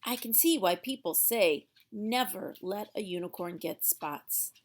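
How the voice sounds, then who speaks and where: Female children's read-aloud narrator, unhurried and theatrical, mid-range voice, stretches key words for emphasis. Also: medium pitch, expressive. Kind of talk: expressive storybook narration for young children.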